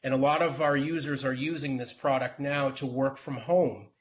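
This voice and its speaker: A man hosting a webinar presentation in a measured, businesslike way. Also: mid-range voice, fairly even intonation, dull audio, a little hiss or hum.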